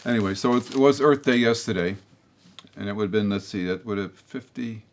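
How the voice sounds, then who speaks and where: A man's voice: fairly low pitch, fairly quick. Rambling older man with a throat tickle. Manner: meandering, blunt, with frequent restarts and asides. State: slightly hoarse, with a throat tickle. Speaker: host of a local public-access political show. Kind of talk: rambling opinion monologue to camera.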